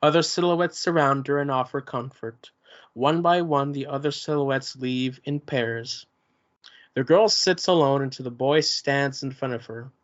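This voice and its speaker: A man reading the stage directions aloud at a play's table read, plain and steady. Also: medium pitch. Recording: muffled lo-fi recording.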